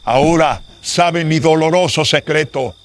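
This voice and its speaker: Man acting a solemn secret-burdened man, slightly high-pitched. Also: measured pace. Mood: grave, confiding. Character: man with a painful secret, reassuring a frightened priest. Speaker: radio drama voice performer. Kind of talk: Spanish-language horror radio drama acting.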